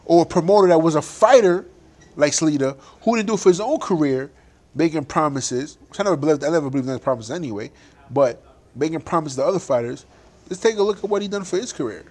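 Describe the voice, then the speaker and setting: A man's voice: on the high side, expressive, fast, a little mellow. A young adult man, a boxer, talking animatedly and emphatically in a casual interview, laughing often.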